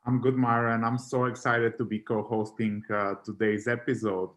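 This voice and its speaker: A man co-hosting a podcast, asking steady, scripted-sounding questions. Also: medium pitch, normal speed. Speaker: podcast co-host. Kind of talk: podcast interview.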